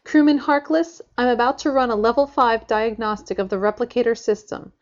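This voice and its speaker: A keen junior space crewwoman, played by a woman, with a fairly high voice and a brisk, deferential manner. The sci-fi audio drama recording is a little dull. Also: fairly even intonation, close to an everyday voice. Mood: businesslike. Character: eager female junior starship crewman engineer.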